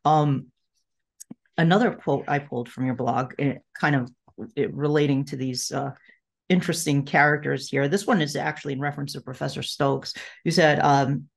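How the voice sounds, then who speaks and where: A woman hosting a podcast in a casual, conversational interview style, agreeable and halting in her phrasing. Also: sits low, fairly even intonation, gravelly. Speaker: podcast host.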